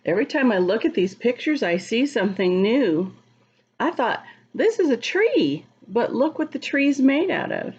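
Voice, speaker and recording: A woman reading a picture book aloud to children in a teacherly, expressive, sing-song way, explaining and asking questions as she goes. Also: fairly high pitch, normal speed, slightly rough, highs cut off. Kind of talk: read-aloud storytime with asides to children.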